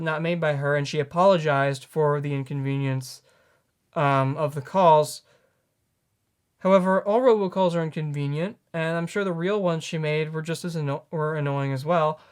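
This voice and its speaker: A man in his late teens hosting a news commentary, earnest and halting as he corrects himself. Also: on the high side. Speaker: news host.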